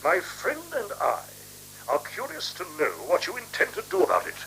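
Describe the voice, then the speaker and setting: A man's voice: sits high, huge pitch swings, moderate pace, pushed and bright, heavily acted, low-quality recording. An actor playing a domineering, suspicious, self-righteous man in a radio play, pompous and precise as he interrogates. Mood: grave, reproachful. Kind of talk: 1970s radio play acting. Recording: humming, hissy 1970s radio recording.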